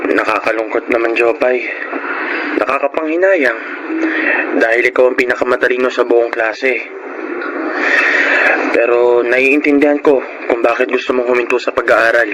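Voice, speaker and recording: A man's voice: medium pitch, steady tone, moderate pace, pauses often, natural voice. A man with a Filipino accent playing a kind, understanding schoolteacher in a radio drama. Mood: regretful, kind. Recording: noisy radio drama recording.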